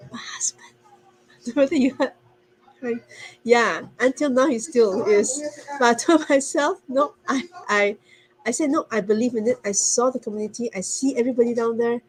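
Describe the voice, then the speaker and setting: Singapore-raised mom giving a testimony. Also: female voice, fairly high pitch, moderate pace, slight background noise, low-quality recording. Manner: earnest, with restarts and self-corrections. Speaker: guest speaker. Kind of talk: casual testimonial on a video call.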